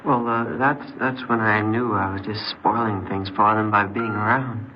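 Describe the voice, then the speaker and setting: An actor playing a troubled, neglected fourteen-year-old boy who speaks haltingly, in the style of old radio crime drama. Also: on the low side, fairly even intonation, lightly acted. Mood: wistful, confiding. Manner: hesitant, halting. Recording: muffled, noisy old radio recording.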